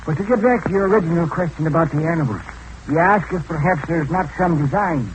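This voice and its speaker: Old male hermit by a male actor for radio adventure: high-pitched, homespun, evasive, kindly, on a slightly noisy vintage recording. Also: quick pace, lightly acted. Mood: musing, evasive. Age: older.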